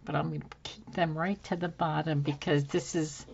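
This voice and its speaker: A woman hosting a casual crafting video at her craft table, a bit hoarse, thinking aloud as she works with many ums. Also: sits low, fairly even intonation, normal speed, darkish tone, lo-fi sound, slight background noise. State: slightly hoarse from dry throat. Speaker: craft channel host. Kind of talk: casual crafting tutorial chat. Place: home craft table, indoors.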